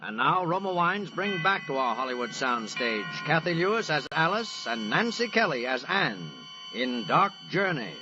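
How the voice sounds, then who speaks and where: A man's voice: on the high side, sing-song, normal speed. A man announcing a show in a formal, portentous, dramatic 1940s radio style. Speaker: program announcer. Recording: dull old radio recording, loud music.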